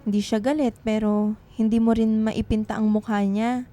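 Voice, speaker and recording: A woman's voice: on the high side, little pitch movement, normal speed, mellow, faint music behind, close to an everyday voice. A woman playing an earnest, tender young woman writer in love, in a radio drama. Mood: troubled.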